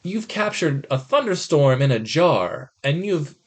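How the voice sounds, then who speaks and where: An American man co-hosting a podcast, reviewing films in casual chat with animated, incredulous rants, mock quotes and swearing. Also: fairly high pitch, measured pace. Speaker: podcast co-host.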